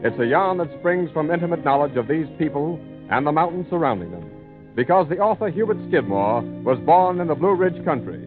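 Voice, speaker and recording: A man's voice: fairly high pitch, big pitch swings, fairly quick. A man announcing a radio drama in formal, dramatic 1940s scripted narration. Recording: muffled 1940s radio recording. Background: music.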